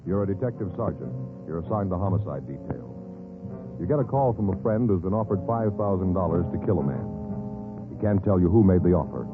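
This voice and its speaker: A man's voice: deep voice, animated, a little fast, narrow, tinny audio. An American man announcing a 1950s radio program in a solemn, emphatic, scripted style. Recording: band-limited old radio recording. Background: music.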